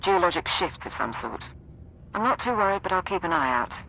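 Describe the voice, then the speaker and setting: A woman playing a composed military support officer in a video game, briefing in a brisk, matter-of-fact way. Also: on the low side, sing-song, vocal fry, sharp tone, a little hiss or hum, full character voice, poor audio. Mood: calm, businesslike. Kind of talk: video game dialogue over comms.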